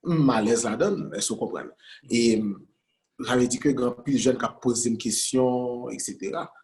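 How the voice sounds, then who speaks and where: A man talks through his ideas in a loose, conversational interview style. He restarts phrases and repeats single words over and over while searching for them. His voice sits in a middling register, neither high nor low. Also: normal speed, darkish tone. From Haiti. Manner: repeats words while searching, switches between Creole and French. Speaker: guest entrepreneur.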